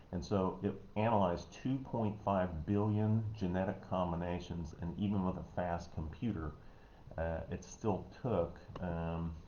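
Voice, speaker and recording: A man with a Missouri American accent, giving a casual health talk online in a folksy, rambling way, often clearing his throat. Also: low-pitched, dark tone. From Missouri, United States. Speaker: host, health educator. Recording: dull, roomy, noisy home recording.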